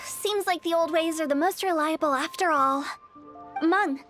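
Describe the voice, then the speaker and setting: A woman voicing a young female funeral parlor director, mischievous and playful, pitching deals in a cheeky, breezy way. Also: a little slow, a bit bright. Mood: scheming. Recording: faint game music behind.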